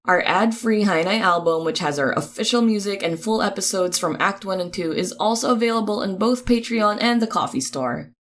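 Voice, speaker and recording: A woman reading podcast credits in a chatty, informal, upbeat announcing style, with expressive delivery. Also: mid-range voice, brisk, few pauses.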